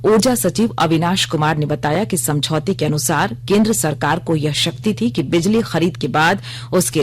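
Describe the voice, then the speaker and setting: A woman reading a scripted radio news bulletin in a formal, measured manner. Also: fairly low pitch, husky.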